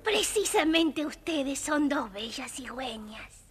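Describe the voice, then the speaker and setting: A woman's voice: high-pitched, lively intonation, slow. A woman playing a mournful princess turned into an owl, speaking plaintively in a dramatized children's story. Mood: earnest pleading.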